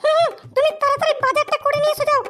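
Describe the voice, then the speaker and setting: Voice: very high voice, little pitch movement, very fast. A performer voicing a middle-aged village housewife in a comedy cartoon, whiny and wheedling with lots of exclamations. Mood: curious, chatty. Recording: music behind the voice.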